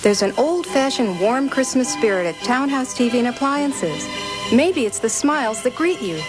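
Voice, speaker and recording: A woman's voice: sits high, expressive, moderate pace, low-quality recording. A woman delivering a scripted advertisement voice-over as a commercial announcer. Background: music.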